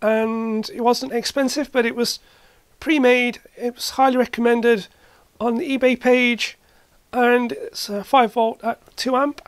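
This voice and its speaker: A hobbyist host giving a casual hands-on demonstration, halting and matter-of-fact, thinking aloud while working. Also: mid-range voice, fairly even intonation, clear, resonant tone, no room sound. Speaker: host, retro computer hobbyist. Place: indoor cluttered workshop.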